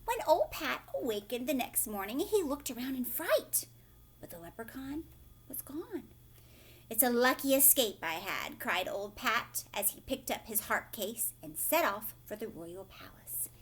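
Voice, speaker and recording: A woman teacher reading a storybook aloud to young children, dramatic and expressive, with hushed questioning asides. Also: a little high, a little slow, slightly rough.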